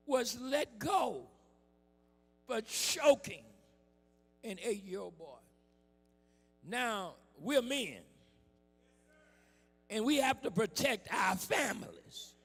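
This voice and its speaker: A hoarse man preaches in a slow, impassioned style, pushing the congregation to answer him back. His high voice is gravelly and rough. He sounds like he is in a big church hall, with faint music underneath. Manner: preaching with call-and-response and shouted emphasis. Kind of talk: impassioned sermon.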